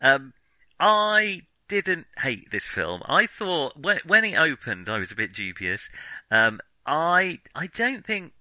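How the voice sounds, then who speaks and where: A man's voice: a little high, very animated, takes it slowly, pushed and bright, dry recording, low-quality recording. A man co-hosting a film podcast, chatting casually through a review in a hesitant, chuckling way as he thinks aloud.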